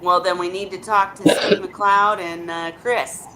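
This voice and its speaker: A woman's voice: mid-range voice, fairly even intonation, unhurried, a loud crowd. Chatty woman hosting a video chat. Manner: chatty, asks questions, repeats herself when starting. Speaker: host of a live video chat. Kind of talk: casual group chat.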